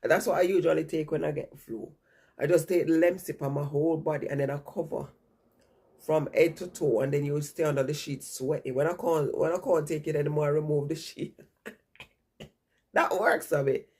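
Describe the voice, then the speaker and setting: A woman vlogger with a cold talks to her viewers in a rambling, chatty way and keeps repeating herself. Her voice is husky and gravelly, and her nose sounds stuffy. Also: sits low, steady tone, moderate pace, a little mellow. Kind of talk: casual chat to viewers.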